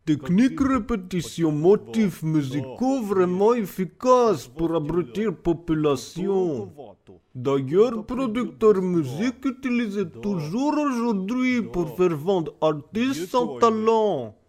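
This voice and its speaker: A man voicing a blunt, cynical Soviet expert who speaks halting, broken sentences. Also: sits high, animated, heavily acted. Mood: cynical, matter-of-fact. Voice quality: halting, article-dropping broken French.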